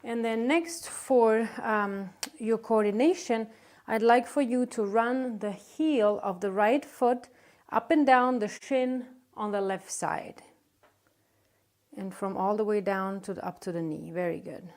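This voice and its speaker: A woman's voice: medium pitch, measured pace, a little mellow. A woman teaching a clinical exam, giving a patient polite, step-by-step directions with brief words of encouragement. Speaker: nursing instructor demonstrating an exam.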